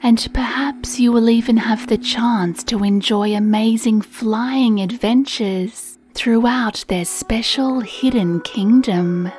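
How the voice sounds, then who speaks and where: A woman gently narrating a children's sleep meditation story in a soothing, hushed, breathy voice. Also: on the high side, takes it slowly, few pauses. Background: soft music.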